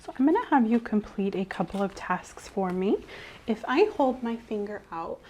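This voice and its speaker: A woman doing a soft-spoken ASMR medical role-play as a clinician, gently reassuring and giving step-by-step instructions. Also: mid-range voice, lively intonation, normal speed, slight background noise. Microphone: clip-on mic.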